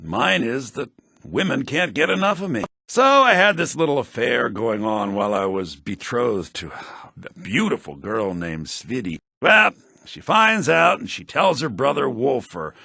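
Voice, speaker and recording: An actor playing a sly, smug, self-serving merchant in a fantasy video game, with a theatrical, condescending delivery. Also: male voice, very animated, measured pace, vocal fry, pushed and bright, breathy edge, no echo, clean studio sound, full character voice. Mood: aggrieved, scornful. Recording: low-bitrate game audio rip.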